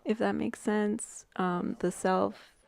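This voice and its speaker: A woman musing casually, pausing to think as she goes. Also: medium pitch, steady tone, creaky, a little mellow. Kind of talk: casual reflective talk.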